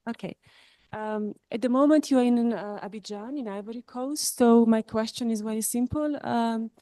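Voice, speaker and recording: A woman in the audience asks her question haltingly, filling the gaps with many "uh" and "um" sounds. Her voice sits fairly high but has a mellow, dark tone, and the sound is dry. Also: no room sound. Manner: hesitant, with many fillers. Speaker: audience member asking a question.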